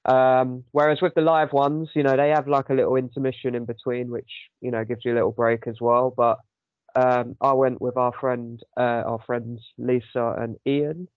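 A man's voice: medium pitch, level tone, moderate pace, no room sound, phone-quality sound. A man hosting a casual chat podcast with a sore throat, rambling with many ums. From United Kingdom. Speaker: podcast host.

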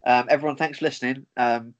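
Upbeat Northern English podcast host. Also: male voice, medium pitch, steady tone, fast, highs cut off. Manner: upbeat, friendly, laughs easily. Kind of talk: casual conversation.

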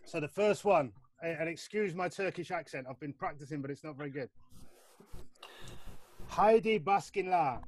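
A man's voice: on the high side, moderate pace. A man co-hosting a casual chat, quizzing a guest with jokey, self-mocking humour and frequent laughs. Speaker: podcast co-host.

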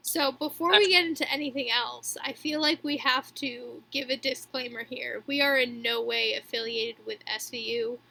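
A woman in her twenties chatting casually as a podcast co-host, in a somewhat halting way. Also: fairly high pitch, vocal fry, pushed and bright, poor audio. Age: 20s. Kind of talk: casual chat.

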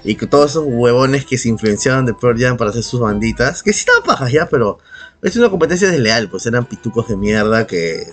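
A man's voice: medium pitch, lively intonation, a little fast. A man with a Peruvian Spanish accent chatting casually as a podcast co-host, opinionated, slangy and heavily profane. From Peru. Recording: music playing behind the voice. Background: background music.

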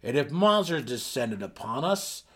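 Fantasy audiobook role: stout, proud deep gnome warden, gruff and booming, fierce and formal; played by a man. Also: big pitch swings, measured pace.

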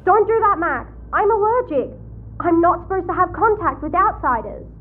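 A woman's voice: very high voice, animated, normal speed, phone-quality sound, slight background noise. A woman acting in an audio drama as a chatty, blunt, sheltered young girl, playful and frank. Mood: bossy, playful.

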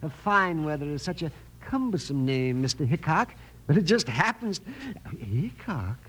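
An actor playing a timid, genial, fussy stranger who stammers and repeats himself in a radio western. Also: male voice, a little high, sing-song, normal speed, a bit bright, breathy edge, exaggerated voice. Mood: dithering, polite. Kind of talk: 1950s radio western comic acting.

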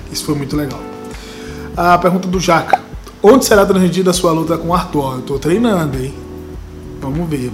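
Casting: young Brazilian man, faint Bahian accent, slightly high voice, easygoing Q&A host, music bed underneath. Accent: light Bahian Brazilian Portuguese, mostly faded. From Salvador, Brazil. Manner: relaxed, joking, laughs at questions. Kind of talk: casual Q&A chat. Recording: music playing behind the voice.

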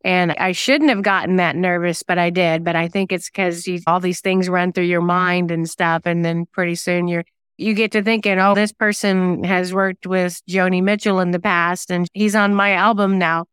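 A woman speaks conversationally as an interview guest, chatty and a little self-deprecating. Her voice is strikingly clear and smooth. The sound is dry, with no room on it. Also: lowish voice, flat delivery, moderate pace, a bit bright, dry recording. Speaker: guest singer-songwriter and novelist.

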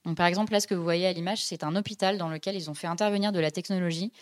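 Chatty young woman podcast host, somewhat low. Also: fairly even intonation, very fast. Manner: chatty, many hesitations and fillers. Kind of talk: casual podcast chronicle.

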